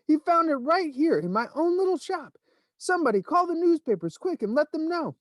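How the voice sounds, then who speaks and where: A man voicing a fat, excitable middle-aged male shopkeeper who shrieks with excitement in a storybook reading. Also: mid-range voice, fairly quick, dry recording, close to an everyday voice. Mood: ecstatic shrieking.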